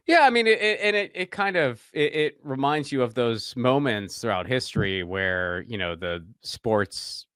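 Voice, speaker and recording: A man's voice: mid-range voice, lively intonation, moderate pace, few pauses, a bit bright, clear, resonant tone, no echo, clean studio sound. A man from Pittsburgh chatting casually on a podcast, stammering and self-deprecating, laughing often. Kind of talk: casual chat.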